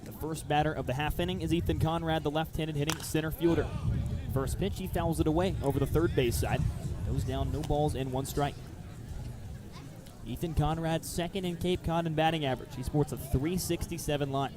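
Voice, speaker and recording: A man's voice: a little high, fairly even intonation, fairly quick, background noise. A man calling live baseball play-by-play for radio in clipped, descriptive phrases. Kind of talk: live radio sports commentary.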